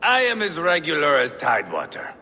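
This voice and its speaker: An actor playing a brash, bloodthirsty Viking warrior in a video game, blunt and sardonic. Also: male voice, fairly high pitch, huge pitch swings, fairly quick, pushed and bright, big-room sound, slight background noise, exaggerated voice.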